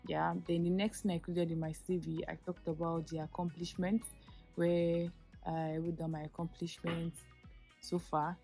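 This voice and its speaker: Young Nigerian woman, vlogger, earnest and chatty, lowish level voice, soft music underneath. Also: level tone, normal speed. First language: Yoruba. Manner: chatty, earnest, many fillers and restarts. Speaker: YouTuber giving application advice. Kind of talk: casual advice vlog.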